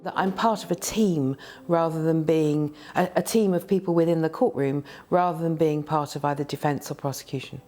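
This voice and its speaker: Low-voiced woman interviewee. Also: steady tone, moderate pace, hardly any pauses, dark tone, faint music behind. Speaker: witness intermediary. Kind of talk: interview talk about own work.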